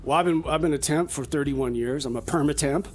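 A man playing a slow, oblivious older career temp who rambles and repeats himself in comedy radio theater. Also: a little high, slight background noise, natural voice. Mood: rambling, slow-witted. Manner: halting, befuddled, repeats himself.

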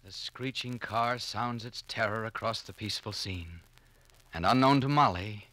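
Casting: male radio announcer, grave, lowish and bright, ominous narration. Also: moderate pace, rough recording.

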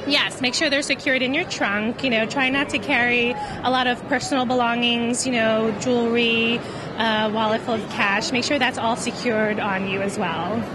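A woman's voice: a little high, steady tone, moderate pace, noisy background. Middle-aged woman giving practical safety advice. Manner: practical, instructive, with "you know" fillers. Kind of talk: advice in an interview. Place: indoor shopping mall. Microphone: handheld reporter mic.

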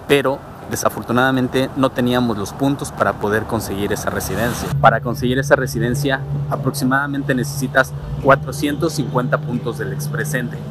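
Man vlogging on the walk. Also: medium pitch. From Mexico. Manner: steady, explanatory, talking while walking. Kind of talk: walking vlog explaining immigration. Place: outdoors on suburban streets and a transit station. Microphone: handheld camera at arm's length. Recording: music playing underneath. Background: music.

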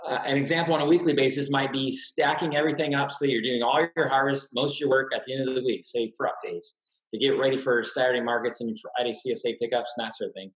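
A man's voice: medium pitch, steady tone, fast. A middle-aged man farmer giving a practical slide talk, with plenty of "uh" fillers and restarts. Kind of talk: slide presentation lecture. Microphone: webcam on a video call. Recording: roomy echoey webcam audio.

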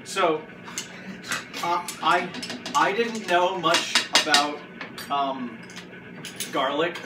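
A man hosts a casual cooking segment in a home kitchen, joking and rambling as he goes. His voice sits a little high, caught by a far-off camera in an echoey room with a noisy background. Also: extremely slow. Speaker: host. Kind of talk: casual cooking show banter. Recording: roomy, distant, noisy kitchen audio.

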